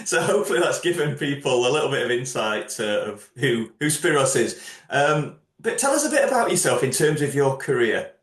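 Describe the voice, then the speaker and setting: A man's voice: mid-range voice, big pitch swings, normal speed, fairly bright tone. A man hosting an interview, chatty and upbeat as he asks his guest questions. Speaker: host. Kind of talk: career interview. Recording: roomy echo.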